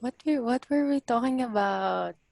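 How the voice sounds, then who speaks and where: A woman's voice: fairly high pitch, normal speed, gravelly, darkish tone, no room sound. A nineteen-year-old woman from the Philippines hosting a casual podcast chat, hesitant and giggly, switching between English and Filipino. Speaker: podcast host.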